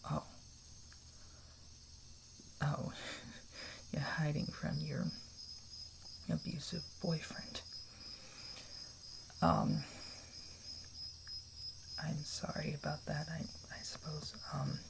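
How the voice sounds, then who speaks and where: A man playing a kind, protective camper in an ASMR roleplay, speaking in a soft, breathy half-whisper with a hesitant, reassuring manner. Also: fairly high pitch, little pitch movement, drawn-out words, pauses often, background noise, full character voice. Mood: concerned, hesitant. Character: kind, protective young male camper comforting a stranger.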